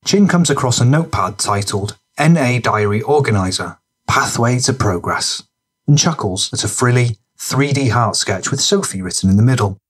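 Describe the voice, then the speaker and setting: A man's voice: medium pitch, expressive, normal speed, slightly rough. A man reading scripted narration for a gritty crime fiction audiobook.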